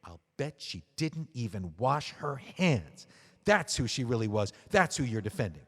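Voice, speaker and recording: An actor playing a betrayed widower in an audio drama, mocking and seething with anger. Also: male voice, medium pitch, very animated, moderate pace, fairly bright tone, husky. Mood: angry. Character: bitter betrayed widower, man. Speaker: widower writing a memoir. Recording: long hall reverb.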